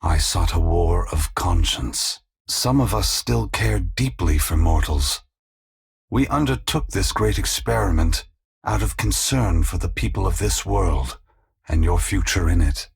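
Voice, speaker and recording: A weary, compassionate god for a video game, low and very heavily gravelled. The voice is husky and rasping. He speaks with solemn, measured gravity and formal, philosophical phrasing. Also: animated, full character voice. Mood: grave, regretful. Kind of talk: video game voice acting, dramatic dialogue.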